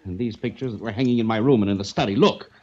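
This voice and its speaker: A man's voice: on the low side, very fast, darkish tone, slight background noise, natural voice. A man playing an angry, threatening host in a 1940s radio drama, curt and commanding. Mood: curt, seething. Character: angry, threatening male host of a tense household. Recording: muffled old-time radio recording.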